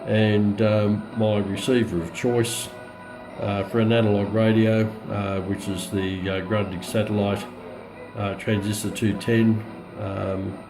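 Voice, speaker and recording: Low creaky-voiced Australian man, halting. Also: level tone, music in the background, poor audio. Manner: hesitant, halting, low-key delivery. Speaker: radio hobbyist presenting a demonstration. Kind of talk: casual unscripted product walkthrough. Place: indoors at home, radio on a countertop.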